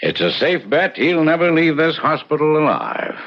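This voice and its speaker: A man's voice: fairly high pitch, sing-song, moderate pace, gravelly, lo-fi sound, loud music behind the voice. A man playing a grave, matter-of-fact surgeon in old-time radio drama. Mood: grave, candid.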